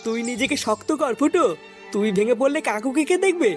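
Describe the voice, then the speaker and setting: Woman voicing an earnest, high-pitched cartoon lad. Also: lively intonation, fairly quick. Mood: concerned. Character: young male cartoon friend, earnest and concerned. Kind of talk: comic cartoon dialogue. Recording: faint music behind the voice.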